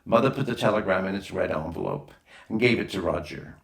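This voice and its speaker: Low-voiced man narrating, roomy echo. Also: animated, brisk, gravelly. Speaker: audiobook narrator. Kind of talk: scripted storybook narration. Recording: roomy, echoing voice recording.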